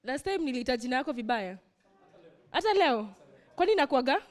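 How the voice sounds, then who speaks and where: A woman's voice: high voice, expressive, fast. A Kenyan woman hosting a comedy night, playfully roasting guests on stage. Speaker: host. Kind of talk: stand-up compering.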